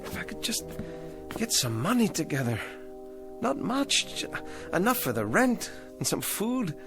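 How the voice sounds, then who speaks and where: A man's voice: sits high, sing-song, unhurried, creaky, exaggerated voice. An actor playing an anxious, self-pitying ventriloquist down on his luck, in classic TV drama style. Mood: despairing. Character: down-on-his-luck male ventriloquist turned thief. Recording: old TV soundtrack with music underneath.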